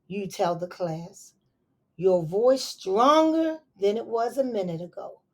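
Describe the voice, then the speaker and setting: Slow, gentle storytime teacher, woman. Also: medium pitch. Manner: slow, expressive storytime reading with soft asides to listeners. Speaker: elementary school teacher. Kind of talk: reading a picture book aloud to young children.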